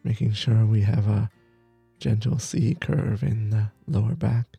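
A man leading a guided meditation as teacher, gentle and soothing, with soft breathy phrases that trail off between long pauses. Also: on the low side, fairly even intonation, dry recording, soft background music. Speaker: meditation teacher, podcast host.

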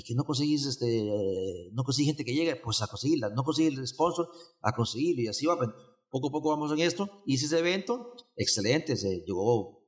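Hondureño charlando suelto en entrevista, va acelerado, vuelve sobre sus frases. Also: male voice, medium pitch. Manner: rambling, many restarts and fillers. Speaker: event organizer, guest. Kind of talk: casual interview chat.

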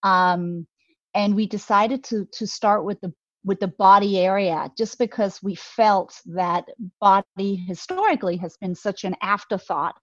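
A woman's voice: mid-range voice, steady tone, a little slow, fairly bright tone, no room sound. A slightly muffled, dry recording carries a woman with a Danish accent. She talks in a conversational interview style as a guest, enthusiastic yet thoughtful, often repeating words as she shapes her point. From Denmark. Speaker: guest, beauty brand co-founder.

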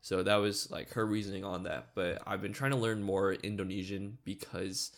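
A young man with a deep, gravelly edge co-hosts a podcast, rambling easily through his thoughts. He leans on fillers and small laughs as he talks. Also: fairly even intonation, normal speed. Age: young adult. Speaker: podcast co-host. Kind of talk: casual chat.

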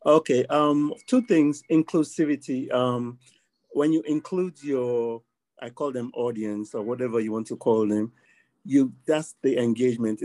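A man's voice: mid-range voice, warm tone, no room sound. A man guest on a podcast giving plain-spoken social media advice, with emphatic points and fillers. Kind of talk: casual advice.

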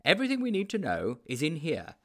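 Man doing a pompous would-be detective, very animated. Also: a little high, quick pace, pitched above the performer's own voice. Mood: smug. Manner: pompous, emphatic explaining. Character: self-important, grandly adventurous would-be detective named Mildew. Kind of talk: storybook character voice.

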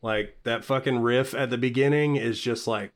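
Man, podcast co-host, excitable, profane, casual, filler "like". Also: medium pitch, normal speed, crowd noise. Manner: excitable, swears casually, says "like" a lot. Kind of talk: casual chat.

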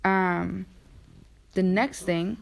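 A woman's voice: lowish voice, steady tone, very slow, vocal fry. A woman hosting a casual conversation in an informal, slangy way. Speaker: podcast host. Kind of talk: casual chat about fashion.

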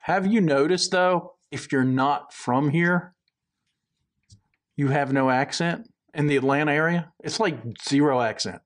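A man's voice: fairly high pitch, unhurried. A man from South Georgia co-hosting a podcast, with a mixed Southern accent, telling a rambling story in a playful, teasing way. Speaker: podcast co-host. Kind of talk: casual chat.

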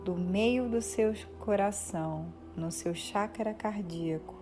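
A Brazilian woman leading a guided meditation in a soothing, instructive manner with deliberate pauses. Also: mid-range voice. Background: music.

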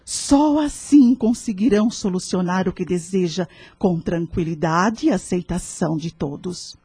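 An actress playing a wise, serene woman who gives kindly spiritual counsel in a radio drama, speaking in a measured, earnest, preachy way. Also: lowish voice, warm tone, slightly rough, dry recording, full character voice. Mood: gently persuasive.

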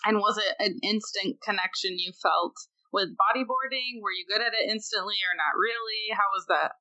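A woman's voice: mid-range voice, moderate pace, bright, forceful tone, no echo, highs cut off. A woman hosting a podcast, asking casual, encouraging interview questions.

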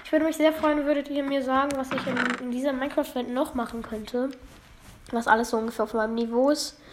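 A woman feeling under the weather, talking through a game in a halting, apologetic way and often losing her words. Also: high-pitched, steady tone, background noise. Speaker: let's play gamer. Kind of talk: casual gameplay commentary.

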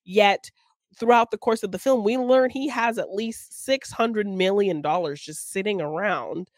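A woman's voice: mid-range voice, unhurried, dry recording, clean studio sound. A woman co-hosting a film podcast, talking casually through a discussion of a movie.

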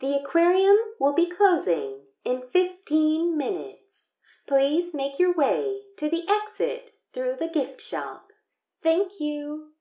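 Casting: formal female announcer acted by a woman, high-pitched, measured, courteous, over a dull reverberant PA in a fiction podcast. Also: wildly swooping pitch, heavily acted. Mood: polite, official. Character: polite aquarium loudspeaker announcer, formal and bright. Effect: loudspeaker. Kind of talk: public address announcement. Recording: echoey, muffled loudspeaker sound.

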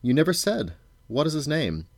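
A man's voice: a little high, very animated, normal speed, no room sound, pitched above the performer's own voice. A man voicing an eager, desperate magician in a storybook, with a florid, theatrical, declamatory manner. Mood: crestfallen.

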